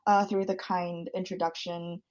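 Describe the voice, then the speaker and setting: A woman's voice: on the low side, level tone, unhurried, darkish tone. A young woman in her twenties, originally from South Korea, answering podcast interview questions fluently and thoughtfully, with some um fillers. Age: 20s. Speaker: student guest.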